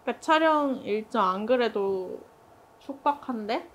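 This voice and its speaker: Higher-voiced woman in casual group discussion. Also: animated, normal speed. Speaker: member of an art production team.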